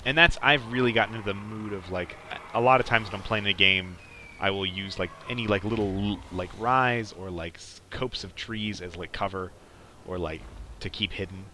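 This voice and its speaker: Young man, low pitch, rambling casual gameplay commentary, clear game noise in the background. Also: moderate pace, sharp tone. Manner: rambling, peppered with "like". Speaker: podcast host playing a strategy game.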